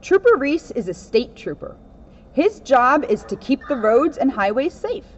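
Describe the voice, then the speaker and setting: A woman reads a children's story aloud as a police officer. Her voice sits a little high and moves with very animated intonation. The recording is slightly dull and lo-fi. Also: a little slow, slight background noise. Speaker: police officer reading a picture book. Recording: dull, lo-fi sound.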